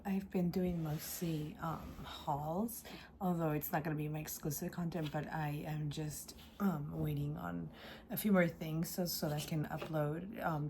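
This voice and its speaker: A woman chats through a crafting haul at a slow, halting pace. Her voice is husky and stuffy from a sore throat, sits a little low, and carries a faint hiss from a home recording. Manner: soft, hesitant, many ums. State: sore throat, raspy and congested. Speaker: craft channel host. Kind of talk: casual unboxing vlog.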